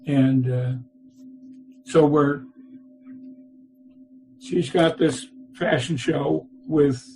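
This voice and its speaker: A man in his eighties, a guest in a casual interview, speaking haltingly and pausing to search for details. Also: on the high side, fairly even intonation, vocal fry, darkish tone, slight background noise, rough recording.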